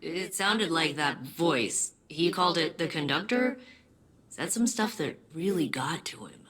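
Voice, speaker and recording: A woman's voice: low voice, fairly bright tone, slightly rough, full character voice. A woman voicing a worried, friendly teammate in a video game, casual and a little hesitant.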